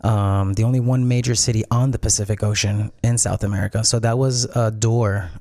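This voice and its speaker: A man's voice: lowish voice, steady tone, fairly quick, warm tone, no room sound, studio quality. A man from Peru, a restaurant founder and interview guest, explaining at length in a thoughtful, easy way. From Peru and Miami. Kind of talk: casual interview.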